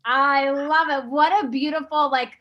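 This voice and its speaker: A woman's voice: high voice, fairly even intonation, a little slow, muffled recording. A woman hosting a video call show, upbeat and gushing, with many fillers. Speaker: host. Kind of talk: casual video call chat.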